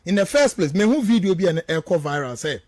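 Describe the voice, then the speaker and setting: A man's voice: high voice, very animated, fast, darkish tone, no room sound. A Ghanaian man giving emphatic, repetitive political commentary as he switches between two languages, full of rhetorical turns.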